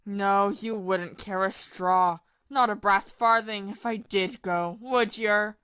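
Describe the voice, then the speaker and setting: Slow, forlorn lovesick man, played by a woman. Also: mid-range voice, fairly even intonation, fairly bright tone, clear, resonant tone, dry recording. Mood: wistful, reproachful. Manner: wistful, pleading. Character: lovesick, forlorn man pleading with his sweetheart. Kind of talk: audio play acting.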